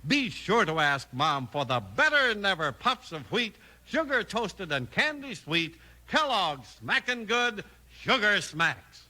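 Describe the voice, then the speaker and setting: Male announcer, American accent, highish voice, very forceful, exuberant vintage radio sales pitch. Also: very animated, unhurried. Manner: exuberant, emphatic salesman delivery. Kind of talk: 1950s radio commercial announcing.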